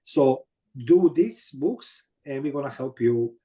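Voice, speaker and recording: A man in his fifties from Croatia with a Croatian accent, telling his story as an interview guest in halting non-native English full of fillers. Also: mid-range voice, expressive, dark tone. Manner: halting, many fillers and "you know what I mean".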